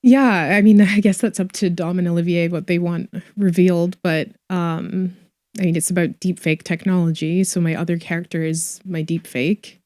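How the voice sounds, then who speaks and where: A woman's voice: on the low side, fairly even intonation, normal speed, darkish tone, no echo, clean studio sound. A young woman from Scotland with a mixed Scottish and Canadian accent, chatting as an actress guest, giggly and full of likes and ums. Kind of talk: casual interview chat.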